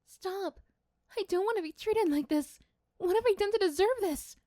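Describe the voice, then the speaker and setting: A woman acts out a shy young female class president in a high-pitched voice. She is kind, polite and easily flustered, speaking hesitantly in a romantic audio roleplay, recorded close and dry. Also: normal speed, warm tone, no room sound. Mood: upset, pleading.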